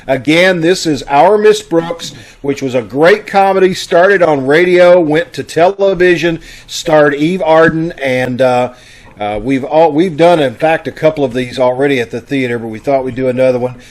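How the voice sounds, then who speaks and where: Male host, slightly high voice, folksy and informal, community announcements over video call. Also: moderate pace. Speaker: host of an amateur radio theater troupe. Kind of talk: informal announcements to an online audience.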